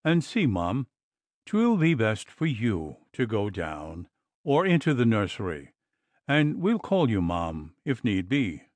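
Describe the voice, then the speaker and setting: A man doing a gruff old country doctor. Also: fairly low pitch, wildly swooping pitch, slow pace, a little mellow, no echo, full character voice. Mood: brusque. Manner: gruff, brusque.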